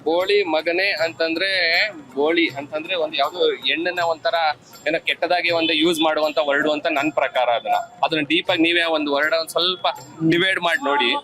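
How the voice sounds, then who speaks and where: A man's voice: fairly high pitch, normal speed, low-quality recording. A man explaining things casually in an interview, mixing in English words. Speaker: street interviewee. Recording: music behind the speech.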